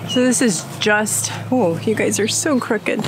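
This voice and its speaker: A woman's voice: medium pitch, unhurried, over music. A middle-aged woman hosting a homestead vlog, chatting casually while working in an outdoor garden. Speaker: homestead vlogger. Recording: outdoor noise behind.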